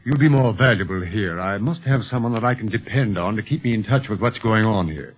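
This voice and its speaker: A man's voice: on the low side, animated, brisk, breathy edge. A man playing an assured, good-humoured secret police leader in an old radio serial, authoritative and reassuring. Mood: calm, reassuring. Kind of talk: 1930s radio acting. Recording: muffled vintage 1930s radio recording.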